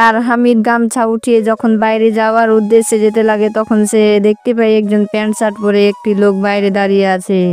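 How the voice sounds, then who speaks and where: A woman narrating a scripted cartoon story as its storyteller. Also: fairly high pitch.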